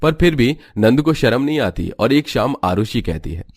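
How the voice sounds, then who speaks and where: A man's voice: mid-range voice, animated, moderate pace, no room sound, clean studio sound. A man giving scripted narration for a cartoon family story as its narrator.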